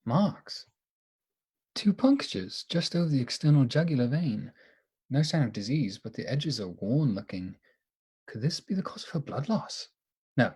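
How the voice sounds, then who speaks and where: A man acting in a radio play as an earnest, anxious doctor devoted to the woman he loves, with a halting, emotionally open delivery. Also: medium pitch, very animated, darkish tone, husky. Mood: puzzled.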